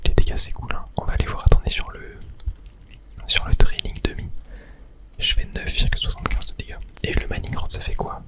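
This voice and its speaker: A person whispering casual ASMR game commentary, chatting and thinking aloud in a soft, breathy, unvoiced whisper. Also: a little fast. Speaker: gaming video host.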